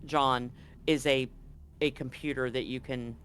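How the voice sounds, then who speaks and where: A man's voice: mid-range voice, fairly even intonation, measured pace, a bit bright, slight background noise. A man acting as game master, narrating a tabletop roleplaying game and reading handouts aloud.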